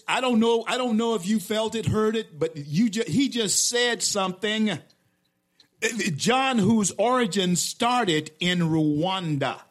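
A man's voice: high voice, fairly bright tone. A man hosting a talk show, interviewing a guest with emphatic, rising swells of delivery.

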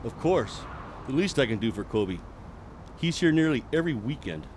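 An actor playing a friendly, encouraging bar owner and soccer fan in an audio drama. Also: male voice, medium pitch, big pitch swings, normal speed, darkish tone, natural voice. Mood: kindly. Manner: easygoing, encouraging. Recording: faint outdoor urban background noise.

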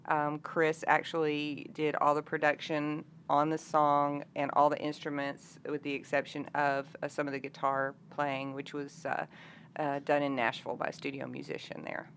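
A woman's voice: sits low, monotone, normal speed. A woman. She chats casually as an interview guest, with some noise behind her. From Arkansas, United States. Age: 50s. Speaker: interview guest, country singer-songwriter.

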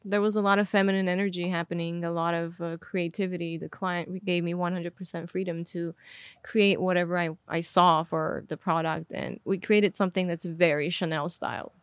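A woman's voice: on the low side, fairly even intonation, normal speed, clear, resonant tone, no echo. Reflective woman telling her story, dry sound. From Armenia. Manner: open, reflective storytelling with fillers. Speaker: guest, video director. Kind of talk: casual interview chat.

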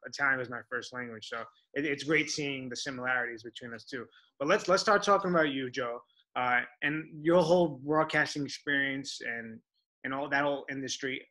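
Bright-voiced male podcast host. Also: mid-range voice, low-quality recording. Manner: conversational, leaning on "you know". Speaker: interview host. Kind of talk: casual interview chat.

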